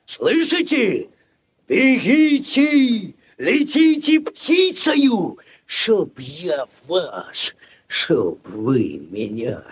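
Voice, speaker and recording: A man playing a pompous, self-important village headman in a 1940s radio play, blustering and stammering in a husky voice. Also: high voice, wildly swooping pitch, extremely slow, full character voice. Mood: blustering, commanding. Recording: old 1940s radio recording.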